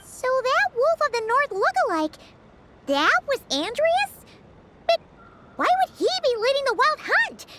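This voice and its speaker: A woman voicing a tiny, childlike, excitable fairy companion in a video game, with a squeaky voice and an inquisitive manner. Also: a little slow, heavy background noise, heavily acted. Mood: puzzled, indignant. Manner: speaks of herself in third person, inquisitive. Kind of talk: animated video game character acting.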